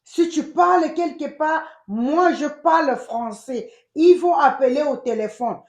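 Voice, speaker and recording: A woman with a Congolese accent giving emphatic, animated casual commentary as a channel host, switching between French and Lingala. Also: high voice, measured pace, reverberant. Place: United States.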